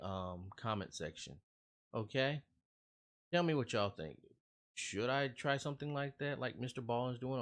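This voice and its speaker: A man's voice: lowish voice, fairly bright tone. A man hosting a reaction video, chatting casually and earnestly to his viewers, rambling and often checking in with them. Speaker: reaction channel host. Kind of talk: casual talk to viewers after a scary-story reaction.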